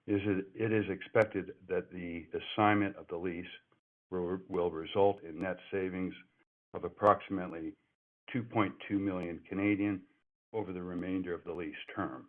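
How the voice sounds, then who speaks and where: A man, a company executive, reading prepared earnings call remarks, with frequent restarts and self-corrections. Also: low voice. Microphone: telephone. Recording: phone-line conference call audio.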